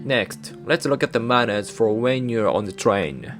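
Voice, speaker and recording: A man with a Japanese accent, a native Japanese speaker, hosting a channel and narrating a scripted guide in even, careful short phrases. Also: lowish voice, a little slow, over loud music. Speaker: channel host.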